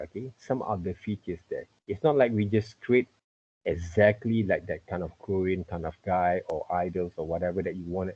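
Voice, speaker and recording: A middle-aged man with a husky voice teaching an informal online lesson, repeatedly checking with "okay" and "right". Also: lowish voice. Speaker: teacher, art and character design tutor. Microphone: computer mic on a video call. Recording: muffled video-call audio.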